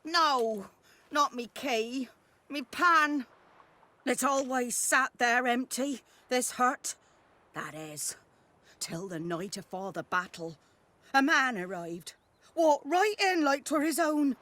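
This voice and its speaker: Indignant folksy old peasant woman, rural British, highish bright voice, unhurried rambling game dialogue. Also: animated, heavily acted. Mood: grumbling. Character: chatty, indignant old peasant granny in fantasy village. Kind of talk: video game voice acting, storytelling.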